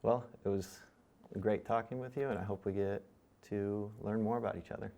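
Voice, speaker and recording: A middle-aged man from Michigan, answering and asking questions in a measured, deliberate way with filler pauses. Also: on the low side, steady tone, gravelly, warm tone, muffled recording. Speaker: returning student and interviewee. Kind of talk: casual interview chat.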